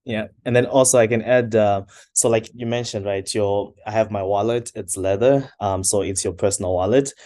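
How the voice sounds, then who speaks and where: A young man from Zimbabwe, a startup co-founder, answering interview questions in a measured, hesitant way with frequent uhs. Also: fairly low pitch, warm tone, no room sound.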